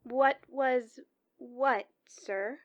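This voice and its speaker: A woman's voice: high voice, drawn-out words. A woman playing a courteous, unruffled 1940s hospital switchboard woman in a radio drama, speaking formally and measuredly. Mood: mildly puzzled.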